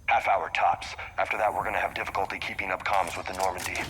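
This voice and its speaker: Blunt male space marine , played by a man, low and fast, noisy vehicle behind, sci-fi game. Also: level tone, close to an everyday voice. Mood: tense, matter-of-fact. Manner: blunt, informal. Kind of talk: dramatic sci-fi game dialogue. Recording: noisy vehicle rumble, comms.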